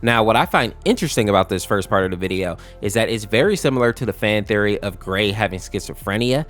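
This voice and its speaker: Man, lowish pitch, casual video narration, conversational phrasing, faint music behind. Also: expressive, quick pace, a bit bright. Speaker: video narrator explaining horror lore. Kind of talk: casual explainer commentary. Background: music bed.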